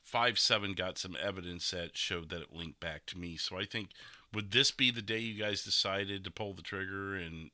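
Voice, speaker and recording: A man's voice: deep voice, moderate pace, bright, forceful tone. A man hosting a podcast, chatting casually as he reviews past game episodes. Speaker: podcast host and streamer.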